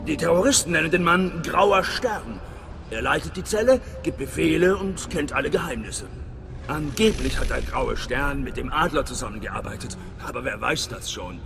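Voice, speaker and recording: An actor playing a hearty, wry middle-aged spy station chief in a video game, theatrical and conspiratorial. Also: male voice, fairly high pitch, animated, normal speed, creaky. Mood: conspiratorial, serious. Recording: long reverb, game music behind.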